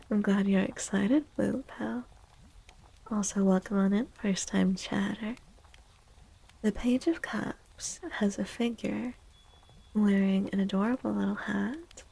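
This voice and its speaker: A woman hosts a tarot stream in a gentle, whispery ASMR voice. Her tone is mellow and dark, with a noticeable vocal fry. She takes her time over each phrase, close on the mic. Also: mid-range voice, low-quality recording. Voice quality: breathy, often dropping into a whisper. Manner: gentle, slow, drawn-out phrasing. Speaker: livestream host doing tarot readings. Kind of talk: soft-spoken ASMR, chatting to viewers. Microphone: close microphone.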